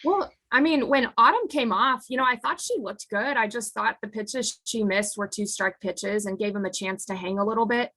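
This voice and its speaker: A woman in her thirties, an American softball head coach, answering postgame press questions in an earnest way with a husky voice that is hoarse from screaming. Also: a little high, normal speed, hardly any pauses. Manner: earnest, restarts sentences often.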